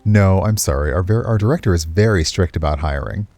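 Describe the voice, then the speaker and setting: A man's voice: low voice, lively intonation, fairly quick, a little mellow, no echo. A man voicing an amused, evasive male dancer who is teasing and secretive, in a visual novel. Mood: apologetic, guarded.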